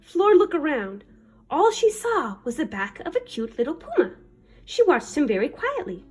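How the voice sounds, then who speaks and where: A woman reading a children's picture book aloud in an expressive, dramatic manner. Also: sits high, moderate pace, faint music behind. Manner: animated, dramatic. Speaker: children's story reader.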